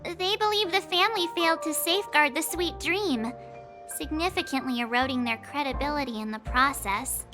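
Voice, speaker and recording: A woman's voice: high-pitched, normal speed, close to an everyday voice. A woman voicing a composed, articulate young lady in a video game, formal and matter-of-fact. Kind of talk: scripted game dialogue. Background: clear background music.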